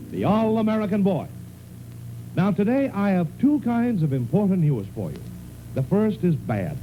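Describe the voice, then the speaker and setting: A man's voice: high-pitched, dark tone, low-quality recording. A male radio announcer reading a scripted vintage show announcement on an old recording.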